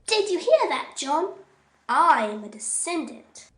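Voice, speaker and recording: Brief: woman playing a wide-eyed small boy, very high and sing-song, drawn-out. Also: very animated, a bit bright, roomy sound, full character voice. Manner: slow, wide-eyed questioning. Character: eager, guileless young boy twin.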